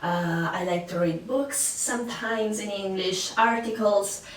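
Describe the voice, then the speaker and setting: A woman's voice: medium pitch, measured pace. Woman, Italian accent, 20s, chatty vlogger, mic a bit distant. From Sicily, Italy. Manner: chatty, many fillers and restarts. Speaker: language-learning vlogger. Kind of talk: casual talk to camera. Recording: echoey room, voice a bit far from mic.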